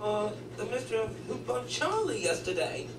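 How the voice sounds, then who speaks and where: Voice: mid-range voice, big pitch swings, moderate pace, background noise, lightly acted. An actor voicing an eager, gushing young diesel engine sidekick in a cartoon fan dub. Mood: curious, helpful. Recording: echoey, distant, loud music behind.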